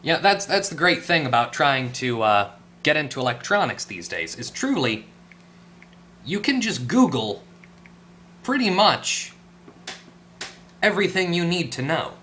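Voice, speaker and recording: Bright-voiced man giving a casual repair tutorial. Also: fairly high pitch, sing-song, a little slow, long pauses, slight background noise. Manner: tentative, self-correcting, thinking aloud. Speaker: host of an electronics repair tutorial. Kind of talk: casual hands-on explanation, unscripted.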